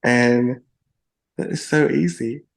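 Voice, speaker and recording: A young adult podcast guest in casual conversation, playful and bubbly, with lots of likes and you-knows. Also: male voice, medium pitch, drawn-out words, gravelly.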